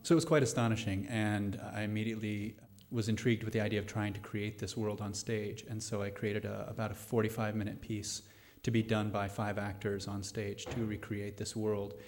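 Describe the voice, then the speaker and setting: A man's voice: on the low side, little pitch movement, a little fast, a little mellow. A man speaking unscripted to a live audience as a playwright explaining his work. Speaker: playwright answering audience questions. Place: studio theater before live audience.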